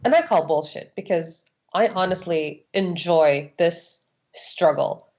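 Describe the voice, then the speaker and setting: A woman from Saudi Arabia with a lowish voice, speaking as a guest in an interview. She is candid and emphatic, repeating key phrases to drive them home. The recording is slightly dull and lo-fi. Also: takes it slowly, a little mellow. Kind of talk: candid personal testimony. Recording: dull, lo-fi sound.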